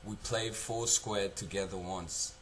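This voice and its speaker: Halting, guilt-haunted young man acted out, slow delivery. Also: lowish voice, steady tone, a bit bright, a little hiss or hum, low-quality recording. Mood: subdued, haunted. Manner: halting, subdued, repeats himself. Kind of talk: confessional dramatic monologue.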